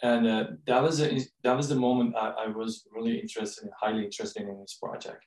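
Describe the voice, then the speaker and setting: A man from Turkey with a Turkish accent, speaking English with many pauses and fillers as a guest on a podcast interview. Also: lowish voice, flat delivery, darkish tone, reverberant, distant mic, poor audio. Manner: halting, many fillers, searching for words. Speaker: guest, co-author and professor.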